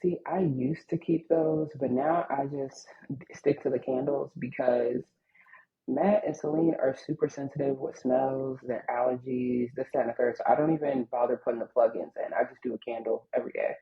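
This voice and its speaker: A woman co-hosting a casual podcast, relaxed and conversational, often murmuring agreement. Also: rumbling low, normal speed. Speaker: podcast co-host. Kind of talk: casual chat. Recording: slightly dull, muffled audio.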